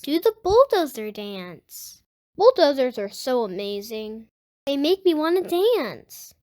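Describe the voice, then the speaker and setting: A child host reading a picture book aloud for young listeners, addressing them directly in an excited way. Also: high voice, slow. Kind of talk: storybook read-aloud with enthusiastic channel intro and sign-off.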